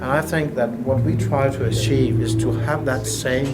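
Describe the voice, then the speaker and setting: A man's voice: medium pitch, fairly even intonation, heavy background noise. Danish man explaining speaker design. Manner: earnest, explanatory, slightly halting. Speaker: loudspeaker designer. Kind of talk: technical interview answers. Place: indoor hi-fi showroom.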